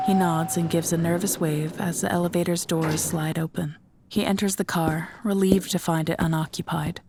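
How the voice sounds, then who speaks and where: Woman narrator for an audio drama, lowish voice, reading a script. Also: darkish tone, a little hiss or hum.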